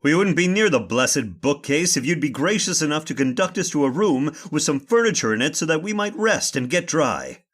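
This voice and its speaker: A man acts out a stubborn, commanding gentleman from an old-time radio horror play. His pitch swings widely as he gives impatient orders in formal, old-fashioned phrases. He sounds stern and fully in charge. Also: big pitch swings, normal speed, hardly any pauses. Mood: indignant. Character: Mr. Winton, stern, stubborn master leading a haunted-house search.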